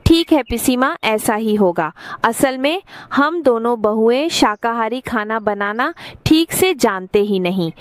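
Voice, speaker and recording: A woman's voice: on the high side, no room sound. A woman playing a respectful young daughter-in-law, a homemaker eager to learn, in a cartoon story.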